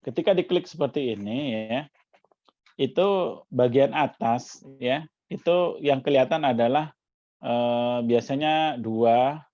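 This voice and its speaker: A man's voice: medium pitch, warm tone, no room sound. Man coaching through a dull call line. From Jakarta. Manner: explains step by step with frequent "ya" tags. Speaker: community coach. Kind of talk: online workshop demo. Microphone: video call.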